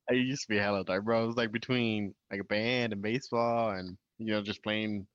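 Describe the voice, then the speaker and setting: A man's voice: fairly low pitch, fairly even intonation, moderate pace, fairly bright tone, no echo. A young man co-hosting a podcast in a laid-back, easygoing way, chatting and reading out news stories. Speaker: podcast co-host. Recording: dull lo-fi sound.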